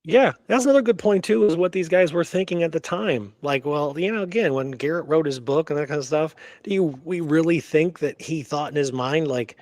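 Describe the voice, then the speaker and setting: A man's voice: on the high side, a little fast, few pauses, darkish tone, no echo, studio quality. A man hosting a casual history discussion, opinionated and emphatic, repeating words for stress. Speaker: host of a history talk show.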